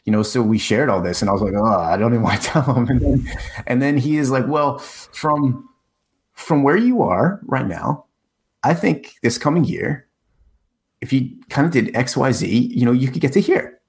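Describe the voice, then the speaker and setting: A man's voice: medium pitch, animated, normal speed. A man in his forties who grew up in the United States, a guest in a casual interview, talking reflectively with frequent restarts and fillers. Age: 40s. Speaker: guest, corporate communication coach. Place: in the Czech Republic.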